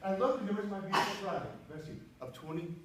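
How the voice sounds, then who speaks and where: High-voiced older man telling a tall tale. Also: fast. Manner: animated, breaks into song snippets. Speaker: contest speaker. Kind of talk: humorous storytelling speech. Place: auditorium stage before an audience. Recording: echoey hall from far off, faint hiss.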